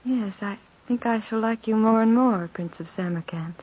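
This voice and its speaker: An actress playing an imperious noble countess in an old-time radio drama, gracious and coolly playful with a regal, measured delivery. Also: female voice, fairly high pitch, lightly acted. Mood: amused, commanding. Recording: dull vintage radio recording.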